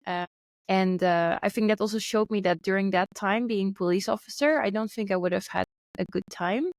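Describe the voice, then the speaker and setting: A woman's voice: mid-range voice, moderate pace, no room sound, clean studio sound. A young woman, an entrepreneur, chatting as a podcast guest in a friendly storytelling way. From Netherlands. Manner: friendly, storytelling, light laughs. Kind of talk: casual interview conversation. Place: Bali, remote call.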